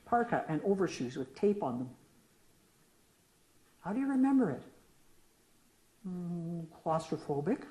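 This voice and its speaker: A woman's voice: fairly low pitch, mellow. A middle-aged woman poet reading her own work aloud to an audience, moving between a question-and-answer poem and casual asides between pieces. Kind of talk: poetry reading. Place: lecture room at a reading. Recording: echoey room.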